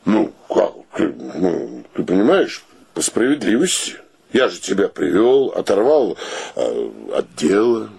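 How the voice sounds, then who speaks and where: An actor playing a clownish, flirtatious rogue in a radio play, with a theatrical, florid manner. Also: male voice, sits low, sing-song, a little slow, vocal fry, darkish tone, slightly rough, heavily acted. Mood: sheepish. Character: clownish, flirtatious male friend, grandiloquent lovable rogue.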